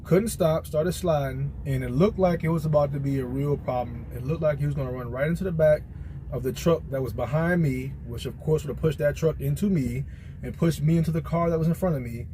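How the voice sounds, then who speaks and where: A man's voice: fairly high pitch, brisk, mellow, a little hiss or hum. A man talking casually and colloquially, like a truck driver giving a rambling, conversational gear review in a vlog.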